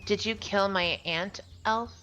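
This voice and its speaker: A woman's voice: medium pitch, a little slow, sharp tone, rough recording. A woman voicing a defiant, desperate human captive from a visual novel, insistent and demanding.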